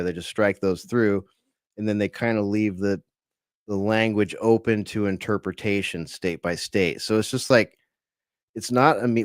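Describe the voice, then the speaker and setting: A man's voice: fairly low pitch, no echo, studio quality. A man co-hosting an online talk show, chatting casually about politics with lots of fillers and restarts. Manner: rambling, hesitant, many fillers. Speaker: podcast co-host.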